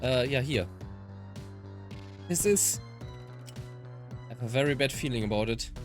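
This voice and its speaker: A woman's voice: very deep voice, lively intonation, a little slow. A woman doing game commentary, switching between dramatic reading and muttered asides. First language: German. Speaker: let's player. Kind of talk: game commentary with dramatic read-aloud of visual novel lines. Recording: music behind the voice. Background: game music.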